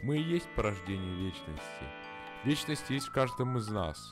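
A man's voice: fairly low pitch, sing-song, normal speed, music in the background. A man voicing a talkative grown-up neighbor in an amateur cartoon who muses in a folksy way.

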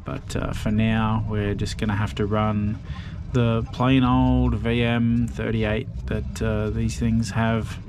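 A man casually narrating his motorbike rebuild in a relaxed, rambling way, with lots of fillers and dry self-deprecating asides. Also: lowish voice, slow pace, few pauses, background noise. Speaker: motorbike restoration vlogger. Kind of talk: casual workshop voice-over narration.

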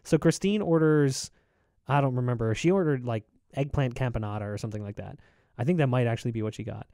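A man in his thirties, a podcast host, telling animated stories over a video call with lots of filler words. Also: medium pitch, fast, no echo, studio quality. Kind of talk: casual chat, storytelling. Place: home room, indoors. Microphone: desk mic on video call, headphones.

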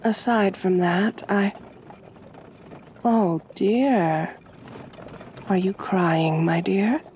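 A woman playing a kind, possessive aristocratic vampire mistress in an ASMR roleplay, speaking gently in a measured, genteel, soothing way. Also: medium pitch, slight background noise. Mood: gentle, reassuring.

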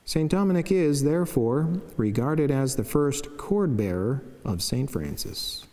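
A middle-aged man, a friar, solemnly reading a scripted devotional text in a measured way at a chapel lectern. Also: mid-range voice, lively intonation, mellow. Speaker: Franciscan friar and priest. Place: wood-panelled chapel, indoors. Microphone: lectern microphone. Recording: long church reverb.

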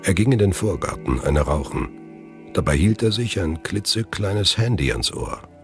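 Very deep male thriller narrator. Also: sing-song, normal speed, soft background music.

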